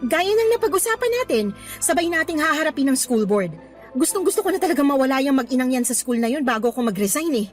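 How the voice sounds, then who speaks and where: An actress plays a young female schoolteacher with a high, expressive voice. She is earnest and outspoken, dropping English phrases into her lines as in a romantic radio drama. Faint music plays underneath. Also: fast. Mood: indignant. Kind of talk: Tagalog radio drama acting. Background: faint background music.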